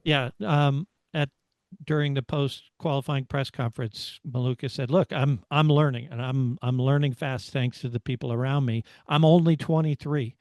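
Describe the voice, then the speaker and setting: A middle-aged American man co-hosting a motorsport podcast, talking through his analysis in a casual, measured way as he thinks aloud. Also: mid-range voice, fairly even intonation, darkish tone, no echo. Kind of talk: casual race analysis chat.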